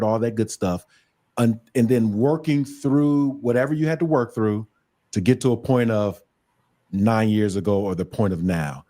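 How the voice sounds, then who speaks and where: A man's voice: mid-range voice, darkish tone. Affirming male co-host. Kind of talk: livestream interview talk.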